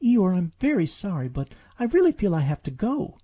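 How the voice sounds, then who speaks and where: A man's voice: sits high, very animated, moderate pace, dark tone, slightly rough, pitched above the performer's own voice, full character voice. A man voicing a small, timid piglet in a storybook, anxious, hesitant and polite. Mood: nervous, pleading. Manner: nervous, hesitant, stammering.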